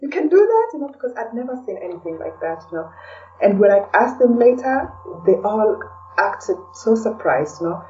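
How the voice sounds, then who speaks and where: A woman's voice: mid-range voice, sing-song, moderate pace, a little mellow. A woman talking casually as an interview guest, chatty and hesitant, often adding "you know.". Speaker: podcast guest, blogger. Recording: dull, distant, faint background noise.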